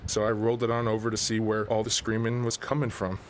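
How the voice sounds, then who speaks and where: An actor playing a folksy small-town fisherman who rambles and corrects himself in a deadpan way. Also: fairly low pitch, fast talker, a little hiss or hum, close to an everyday voice. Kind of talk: radio drama interview.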